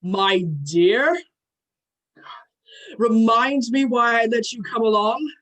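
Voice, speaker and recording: A proud thunder god played by an amateur reader, grand and archaic in speech and gruff in temper. The voice sits in a middle register, in the manner of a dramatic myth reading. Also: mid-range voice, slow, fairly bright tone. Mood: exasperated. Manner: formal, archaic, grand phrasing.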